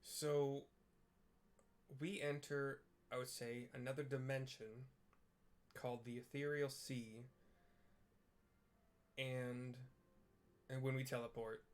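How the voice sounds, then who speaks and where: A man's voice: mid-range voice, level tone. Man casually commentating on a game. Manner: halting, musing aloud. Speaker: gamer narrating a video playthrough.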